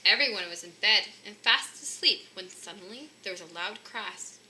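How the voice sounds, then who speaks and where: Female storybook reader, very bright and lively, slightly high, faint noise behind. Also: moderate pace, rough recording. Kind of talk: expressive children's story narration.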